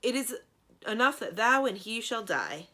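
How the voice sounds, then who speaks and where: A woman's voice: on the high side, very animated, normal speed, pushed and bright, pitched above the performer's own voice, full character voice. A woman voicing a huge, stern male djinn who declaims pompously in grand, archaic speech for a storybook. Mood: menacing, grand.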